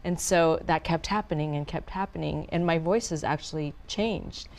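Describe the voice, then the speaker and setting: A woman with a deep voice, hosting a casual talk show, chatty and laughing often. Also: normal speed, darkish tone. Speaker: host.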